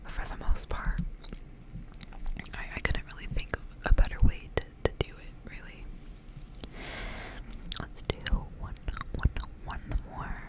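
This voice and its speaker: A woman's voice: unhurried, long pauses. Whispering woman reading ASMR stories. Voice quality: breathy unvoiced whisper. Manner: soft, hushed, intimate delivery. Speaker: ASMR reader and channel host. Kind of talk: whispered ASMR storybook reading with casual asides.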